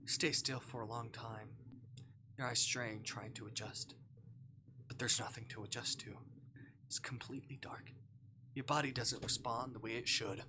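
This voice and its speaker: A man's voice: medium pitch, fairly even intonation, moderate pace, long pauses, noisy background. A man who streams games, reading a visual novel aloud in a dramatic narrating manner. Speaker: game streamer.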